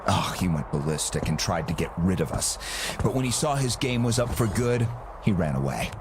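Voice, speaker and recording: A man playing a smooth, self-satisfied arms-dealer boss with grand ambitions, speaking in a theatrical, businesslike way in a game cutscene. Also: sits low, lively intonation, moderate pace, background noise. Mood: smooth, persuasive. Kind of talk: video game cutscene acting.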